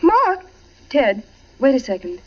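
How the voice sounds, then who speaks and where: A woman's voice: high-pitched, sing-song, slow pace, full character voice. A woman acting a sweetly evasive suburban wife in a 1940s radio drama. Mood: anxious pleading. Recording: dull, noisy 1940s radio recording.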